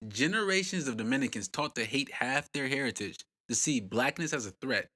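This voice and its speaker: A man's voice: medium pitch, expressive, a little fast, bright, forceful tone. A man host narrating a scripted history documentary in a punchy, dramatic way with rhetorical questions.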